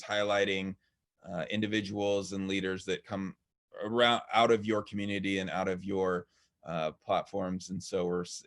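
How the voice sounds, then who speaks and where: A man's voice: on the low side, fairly bright tone. A man hosting a live online interview, talking in a rambling way with many fillers and restarts. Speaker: host. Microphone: video call.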